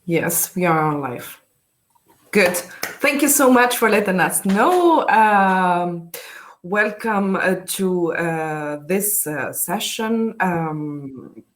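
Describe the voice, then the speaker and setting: A woman's voice: lowish voice, lively intonation. Young tunisian woman hosting an online session, lots of uhs and ums. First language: Tunisian Arabic. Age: young adult. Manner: halting, many fillers, switches between English, Arabic and French. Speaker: session host. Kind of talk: live online panel moderation.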